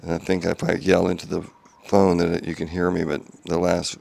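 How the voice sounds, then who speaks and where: A man giving a casual update video from his home garage, talking quietly in a monotone with many ums and restarts, on a small clip-on mic. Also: deep voice, brisk, vocal fry, no room sound. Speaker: home car restorer. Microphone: small clip-on wireless mic.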